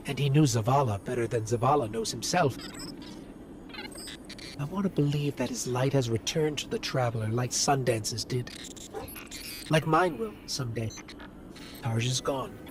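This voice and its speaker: An actor playing a small, dutiful robotic companion spirit in a video game, measured, sincere and reflective. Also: male voice, medium pitch, close to an everyday voice. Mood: solemn admiration. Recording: noisy game ambience behind voice.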